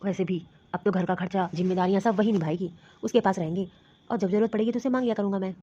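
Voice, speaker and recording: A woman's voice: mid-range voice, fairly even intonation, fast talker, warm tone, breathy edge, lightly acted. A woman playing an earnest young husband. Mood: puzzled. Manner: earnest, reasoning, defensive. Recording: dull lo-fi sound.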